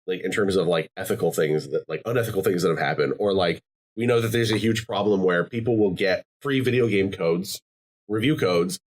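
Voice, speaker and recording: Male podcast co-host, deep voice, rambling casual delivery. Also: fairly quick. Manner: rambling, many fillers and restarts.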